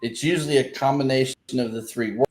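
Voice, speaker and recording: A man's voice: mid-range voice, fairly even intonation, fast talker, slight background noise. A man with an Alabama Southern accent interviewing a guest, chatty and playful, acting out local phrases. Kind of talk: casual interview. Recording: roomy echo.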